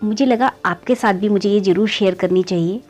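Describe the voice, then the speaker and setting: A woman tells a moral story in an easy, chatty way, speaking straight to her listeners as friends. Her voice sits at a middling height and lifts at each new scene. Soft music plays faintly underneath. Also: fairly quick. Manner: keeps calling listeners "friends", pitch rising on story beats. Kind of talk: conversational moral story narration.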